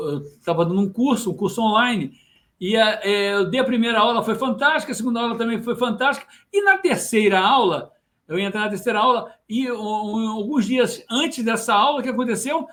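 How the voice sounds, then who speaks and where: A man with a Brazilian Portuguese accent hosting a podcast, telling stories in an animated way and asking himself rhetorical questions. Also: extremely high pitch, fairly quick. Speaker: podcast host. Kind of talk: conversational podcast interview.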